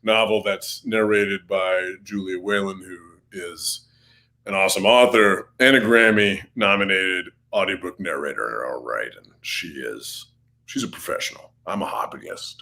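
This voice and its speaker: A man guests on an interview podcast, talking casually about his work. His voice runs somewhat low. He jokes easily and often makes light of himself. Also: normal speed, creaky, sharp tone. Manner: self-deprecating, jokey. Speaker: comic book writer, guest.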